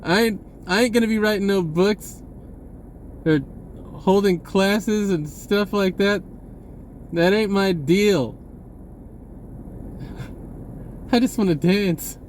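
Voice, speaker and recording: Higher-voiced man rambling casually over background noise. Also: takes it slowly, pauses often. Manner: informal, folksy wording with frequent self-corrections. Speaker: spiritual vlogger.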